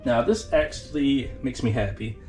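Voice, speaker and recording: A man's voice: medium pitch, moderate pace, darkish tone, soft background music. A tired man giving a casual, informal tour as a travel vlogger, addressing viewers in a folksy way. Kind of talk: casual cruise ship tour commentary.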